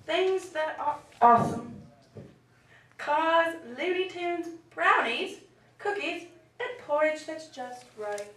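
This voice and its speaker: A woman playing a curious, fussy young girl in a rhyming puppet show, reciting in a deliberate singsong. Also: sits high, lively intonation, fairly bright tone, exaggerated voice. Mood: playful, puzzled. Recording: roomy, distant mic, faint noise.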